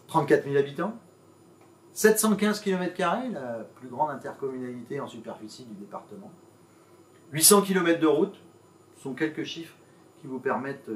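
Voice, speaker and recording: Roomy distant recording, lower-pitched-to-average male voice, formal civic speech, measured emphatic phrasing, hesitant pauses. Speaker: mayor and intercommunal president. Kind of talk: formal public address.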